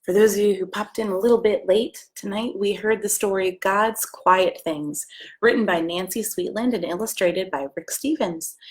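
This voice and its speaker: An American woman, a pastor, reading a children's story aloud with gentle, simple asides and questions for young listeners. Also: medium pitch, slight background noise. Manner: gentle, simple questions addressed to small children, often hushed.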